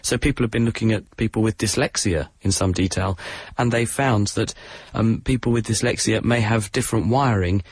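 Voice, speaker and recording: Low-voiced southern English man explaining science. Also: brisk, breathy edge, dry recording. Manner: relaxed explainer, self-deprecating jokes. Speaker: science expert guest on radio. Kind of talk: explaining science on call-in radio.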